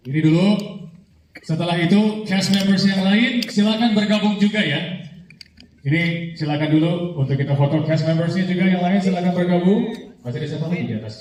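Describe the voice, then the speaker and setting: A man moderating a press conference, brisk and upbeat, mixing Indonesian with English phrases. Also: high-pitched, a little mellow, slight background noise. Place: event hall. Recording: echoey hall recording from a distance.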